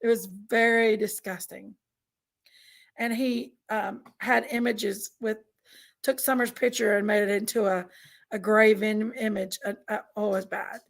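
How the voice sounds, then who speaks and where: A woman with a Southern American accent, raspy and congested from allergies, chatting casually on a livestream and reading out viewers' names. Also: medium pitch, steady tone, measured pace. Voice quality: raspy, gravelly. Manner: drawling, reads out chat names. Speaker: livestream host.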